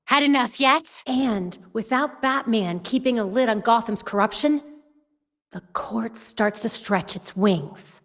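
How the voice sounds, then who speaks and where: A woman's voice: a little high, measured pace, fairly bright tone, no echo, studio quality. An American woman voicing a young, brainy, determined vigilante heroine in a video game, with a wry, earnest delivery. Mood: combative, bold. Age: young adult. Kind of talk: video game character voice lines.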